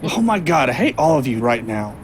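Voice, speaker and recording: A man's voice: medium pitch, big pitch swings, fairly quick, a little hiss or hum, close to an everyday voice. An actor playing an earnest, flustered young man in an audio drama, speaking politely and hesitantly. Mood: exasperated.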